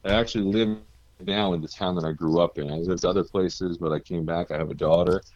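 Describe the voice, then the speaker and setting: A deep-voiced man from New Jersey, a guest musician chatting casually and telling stories with easy laughs. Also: fairly even intonation, fairly quick, darkish tone, no echo, muffled recording. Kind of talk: casual interview chat.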